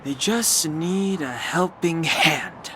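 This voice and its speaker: A man playing a cautious, level-headed young warrior in a video game, calm and dry. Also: fairly high pitch, slow, slight background noise.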